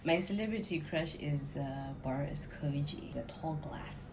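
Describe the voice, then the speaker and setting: A woman from Zimbabwe with a notably low voice chats as a guest in a relaxed, reflective way. She laughs easily between answers. Steady noise hums behind her. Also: normal speed. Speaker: makeup and hair artist guest. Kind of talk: casual interview chat. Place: indoors, Dubai. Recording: noisy background.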